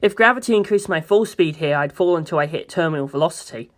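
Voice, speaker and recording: Steady narrator reading a novel aloud. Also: medium pitch, fast. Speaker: amateur audiobook reader. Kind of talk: scripted narration of a novel, first person.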